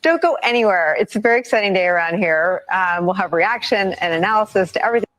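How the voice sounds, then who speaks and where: A woman's voice: medium pitch, expressive, fast talker, a bit bright, low-quality recording. A woman interviewer on a television news program, giving a polished, upbeat sign-off.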